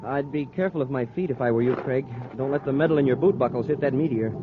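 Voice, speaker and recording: An actor playing an excitable young space cadet sidekick, earnest and deferential, in 1950s radio adventure style. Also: male voice, mid-range voice, fast talker, close to an everyday voice. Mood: puzzled, earnest. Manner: deferential, earnest, exclaims easily. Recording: dull, noisy old radio recording.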